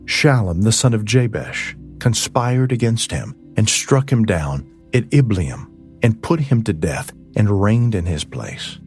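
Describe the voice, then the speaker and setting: A man narrating scripture as a scripted audiobook reading, with measured, solemn phrasing. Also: lowish voice, expressive. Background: ambient music.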